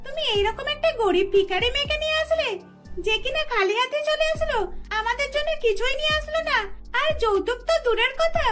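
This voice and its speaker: A woman's voice: extremely high pitch, fairly quick, a bit bright, soft background music, pitched above the performer's own voice. A woman voicing a haughty, greedy young sister-in-law in a cartoon, whiny, taunting and bossy. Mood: disappointed, scornful.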